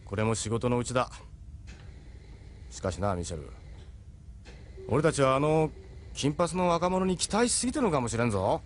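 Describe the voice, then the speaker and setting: Man acting a deep, wry spaceship captain. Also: very animated, moderate pace, long pauses, a little hiss or hum, close to an everyday voice. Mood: confiding. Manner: frank, worldly, wry. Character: seasoned, persuasive ship captain of a secret movement. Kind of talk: Japanese audio drama acting.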